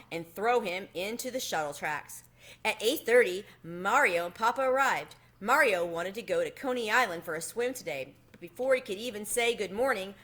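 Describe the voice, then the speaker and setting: A woman reading a children's story aloud in an expressive narrating style. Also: mid-range voice. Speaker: storybook reader.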